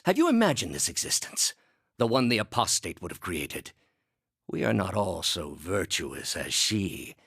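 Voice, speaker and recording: A man playing an ancient, zealous high priest villain in a fantasy video game, grave, measured and condescending in a theatrical, oratorical way. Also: fairly bright tone, breathy edge, no room sound, studio quality, heavily acted. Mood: grave preaching.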